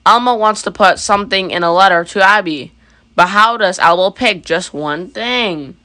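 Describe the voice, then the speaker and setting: A small, earnest storybook monster read by a woman, childlike and talking about himself by name. The tone is bright, the pitch middling. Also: moderate pace, close to an everyday voice.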